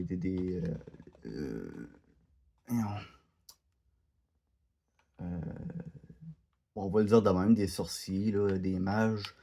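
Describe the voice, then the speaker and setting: Man, Québécois accent, gaming commentary, stumbling, unhurried, dark tone. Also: fairly low pitch. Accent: Québécois French. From Quebec, Canada. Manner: hesitant, many fillers and restarts. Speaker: gaming video creator. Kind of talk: casual gameplay commentary.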